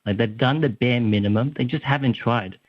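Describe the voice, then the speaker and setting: A man's voice: medium pitch, brisk, no room sound. A young man calling in to a radio talk show, earnest and talking with many restarts and fillers. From Australia. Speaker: caller. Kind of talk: radio talkback call. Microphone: phone. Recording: muffled phone line.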